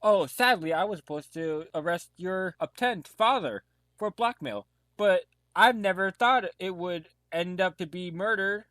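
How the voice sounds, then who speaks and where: A formal, methodical detective played by a man with a fairly high voice lays out accusations in an audio drama. He declaims his lines, recorded close and dry with no room sound. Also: a little slow, a bit bright, no room sound. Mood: pondering. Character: methodical police detective accusing murder suspects. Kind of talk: amateur audio drama acting.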